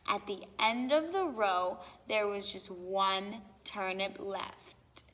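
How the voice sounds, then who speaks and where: Slow, highly animated woman reading a children's story. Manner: expressive, theatrical emphasis on repeated lists and sound words. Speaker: storybook reader. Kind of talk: children's story read-aloud. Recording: long hall-like reverb.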